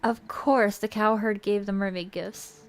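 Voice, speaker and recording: A woman's voice: medium pitch, steady tone, a little slow. A woman streamer reading a visual novel aloud and chatting casually between passages. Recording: music faintly behind the voice. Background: music.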